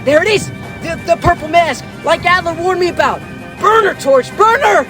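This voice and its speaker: An actor playing a panicked superhero comrade in an audio drama, frantic and urgent. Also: male voice, very high voice, lively intonation, normal speed. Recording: very loud music behind.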